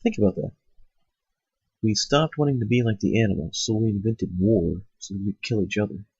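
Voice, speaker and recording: Husky, stuffy-nosed man ranting. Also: on the low side, brisk, darkish tone, no room sound. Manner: profane, blunt, rambling with fillers. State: stuffed up with a cold. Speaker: host. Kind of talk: ranting monologue. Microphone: cheap microphone. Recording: lo-fi home setup.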